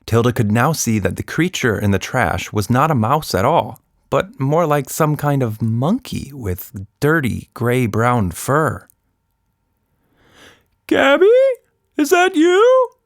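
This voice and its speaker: A man narrating a scripted children's story in an expressive, deliberate storytelling manner. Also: mid-range voice, dry recording, studio quality. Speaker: podcast narrator.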